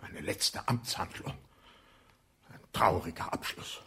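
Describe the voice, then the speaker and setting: An actor playing a shrewd police inspector in a radio play, with a husky voice, probing and ironic. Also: male voice, deep voice, slow pace, sharp tone, exaggerated voice.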